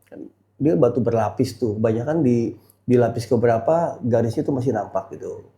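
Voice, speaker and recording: Mellow middle-aged Indonesian man, agreeable. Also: medium pitch, animated, brisk. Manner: agreeable, echoes the other speaker's words. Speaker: podcast guest, gemstone dealer. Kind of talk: casual podcast conversation.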